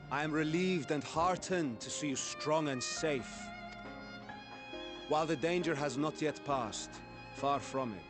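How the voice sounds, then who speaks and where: An actor playing a composed male ruler in a video game cutscene, addressing frightened people in stately, formal speech. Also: fairly high pitch.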